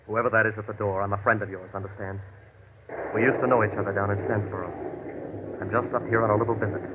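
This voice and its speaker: A man's voice: lowish voice, steady tone, quick pace, heavy background noise, natural voice. An actor playing a tough, defensive American gunman in classic radio drama style, talking in argumentative, menacing slang. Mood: cold menace. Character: tough, defensive gunman ex-convict posing as a friend. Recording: thin, tinny vintage radio recording.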